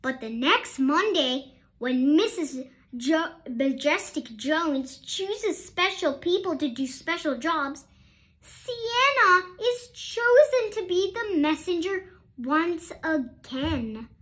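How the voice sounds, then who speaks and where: A woman's voice: extremely high pitch, animated, slow pace, a bit bright. A woman reading a children's picture book aloud expressively, with questioning asides to young listeners. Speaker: storybook reader.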